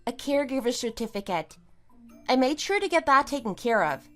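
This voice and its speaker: A woman's voice: high-pitched, sing-song, brisk, a bit bright, faint music behind. A woman playing a scornful, triumphant wife in an audio drama, with a sarcastic, emphatic delivery. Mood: smug, matter-of-fact. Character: wronged wife, scornful and triumphant, kicking out cheating husband. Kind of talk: amateur audio drama acting.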